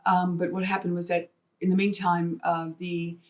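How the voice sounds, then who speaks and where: A woman's voice: lowish voice, completely flat delivery, normal speed. A woman from Hong Kong chatting in an interview, telling anecdotes and acting out bits of remembered dialogue. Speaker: podcast guest, artist and wildlife fundraiser. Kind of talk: conversational storytelling interview.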